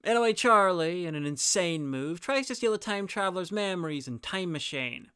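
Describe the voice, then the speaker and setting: A man's voice: sits high, animated, moderate pace, bright, forceful tone, slight background noise. A man narrating a scripted review in an opinionated, wry manner. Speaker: reviewer presenting an audio drama guide.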